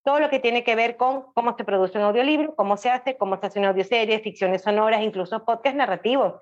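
A woman's voice: fairly high pitch, fast talker, dull audio. A woman guest chatting casually in an interview, telling her story expressively and repeating phrases. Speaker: podcast guest, audiobook creator.

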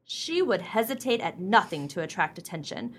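A woman's voice: lowish voice, very animated, normal speed, slight background noise. A woman playing a haughty, self-righteous society clubwoman in a radio play, with a pompous, formal, disapproving way of talking. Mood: resentful.

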